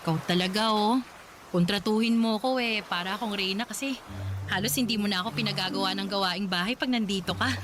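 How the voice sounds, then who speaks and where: A woman's voice: medium pitch, fairly quick, background noise, natural voice. A woman playing an affectionate, teasing young wife in a radio drama. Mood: playful.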